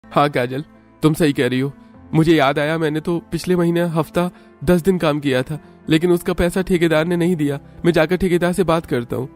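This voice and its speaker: A man's voice: fairly high pitch, steady tone, brisk, darkish tone, faint music behind, close to an everyday voice. A man playing a poor, earnest young labourer in a cartoon story, worried and pleading. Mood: resigned.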